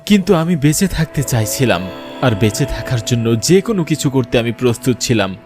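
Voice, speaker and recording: A man plays a frightened, betrayed young lover narrating his own horror tale for a cartoon. His delivery is earnest, emphatic and dramatic, with clear music running behind the voice. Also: mid-range voice, lively intonation, brisk, a little mellow, close to an everyday voice. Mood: tense narration. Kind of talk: dramatic first-person horror story narration.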